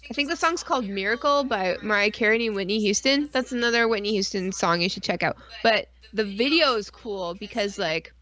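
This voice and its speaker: A woman's voice: mid-range voice, brisk, hardly any pauses. A woman co-hosting a podcast in casual chat, rambling and swearing freely as she tells stories and acts out asides. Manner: profane, rambling, animated storytelling with acted-out asides. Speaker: podcast co-host.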